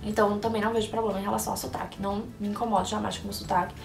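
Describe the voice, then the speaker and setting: A woman's voice: mid-range voice, level tone, very fast, creaky. A young woman from Brazil chatting casually to camera, answering viewers' questions and thinking aloud with frequent restarts. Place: indoor room in Orlando under bright lights. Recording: echoey room, voice a bit far from the mic, faint music behind.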